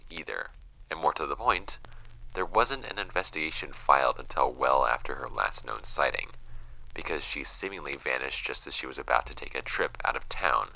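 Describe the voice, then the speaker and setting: An actor playing a weary, wry amateur investigator with a very deep, gravelly voice, reading case files aloud in an audio drama in a measured, brooding way. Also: male voice, bright, forceful tone, exaggerated voice, rough recording. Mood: wry, determined.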